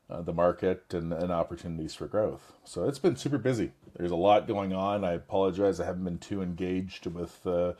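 Very deep-voiced hoarse raspy man. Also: dark tone. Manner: halting, many fillers and restarts. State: hoarse, recovering from illness. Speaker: business owner on a group call. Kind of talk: casual group video call.

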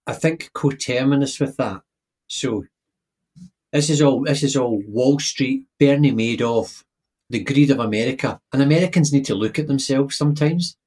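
A man's voice: mid-range voice, normal speed, darkish tone. A man co-hosting a podcast, talking in an opinionated, emphatic way and often repeating words as he starts a point. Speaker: podcast co-host. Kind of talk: casual chat.

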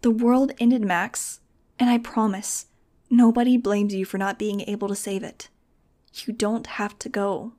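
A woman's voice: fairly even intonation, a little slow, dark tone, lightly acted. A woman voicing a sensitive, weary young winged mutant girl who talks in a pleading, emotional way. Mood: earnest. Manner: pleading, emotional, higher-pitched.

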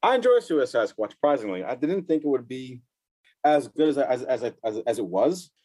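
A man's voice: medium pitch, very animated, moderate pace, darkish tone, no echo. Enthusiastic man giving movie opinions. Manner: agreeable, enthusiastic, stumbles over words. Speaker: podcast co-host. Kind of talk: casual movie chat.

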